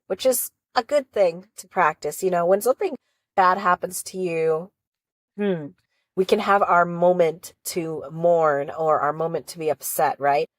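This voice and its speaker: A woman's voice: mid-range voice, no echo. Casting note: a woman, a bit sniffly from a cold, with a rambling, chatty recap style and a mid-range voice, recorded close and dry. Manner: rambling, chatty, warm encouragement. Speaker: reality show reaction vlogger. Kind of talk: casual recap vlog.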